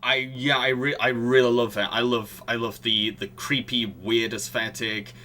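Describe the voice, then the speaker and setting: Bright, forceful podcast host. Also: mid-range voice, level tone, moderate pace, slight background noise. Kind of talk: casual podcast chat.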